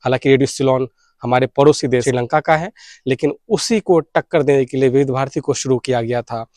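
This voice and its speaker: A man's voice: mid-range voice, fairly quick, a little mellow, dry recording. Indian man, dry close sound, earnest appeal. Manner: Hindi mixed with English words. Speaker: YouTube host and radio enthusiast. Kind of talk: earnest campaign appeal to camera.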